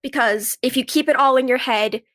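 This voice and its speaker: A woman with a fairly high voice talks as a guest in a conversational interview. She explains things earnestly, as someone speaking from her own working experience as a performer. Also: a little fast, a bit bright. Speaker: podcast guest, actress and writer.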